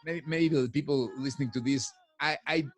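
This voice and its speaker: A man's voice: fairly high pitch, a little fast, soft background music. Talkative middle-aged man. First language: Spanish. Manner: talkative, piles up restarts. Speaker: guest. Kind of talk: casual podcast chat. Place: home office. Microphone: headphones on a video call.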